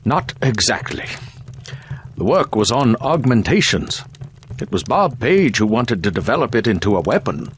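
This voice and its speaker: A man's voice: medium pitch, sing-song, normal speed, lightly acted. Casting: man playing sickly paranoid conspirator for a video game, formal delivery, varied intonation, slight noise behind. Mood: grave, informative. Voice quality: prone to coughing. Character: ailing, paranoid conspirator, a weary grown man.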